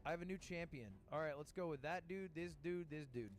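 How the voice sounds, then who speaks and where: A man's voice: a little high, fairly quick. A man in a comedy video, reviewing games with sarcastic, swearing asides. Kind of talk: casual comedic video monologue. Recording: video played on stream with faint music bed.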